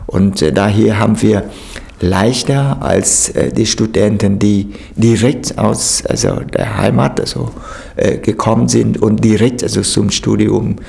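A man's voice: fairly low pitch, steady tone, unhurried, creaky, warm tone. A man from Vietnam, a native Vietnamese speaker, answering interview questions hesitantly with many fillers.

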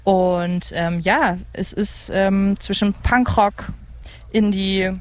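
A radio host in their thirties with a mid-pitched voice, chatting live from a music festival. The delivery is enthusiastic and casual, with hesitant moments of searching for the right word. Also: slow. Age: 30s. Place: open lounge area at a festival site. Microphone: broadcast microphone.

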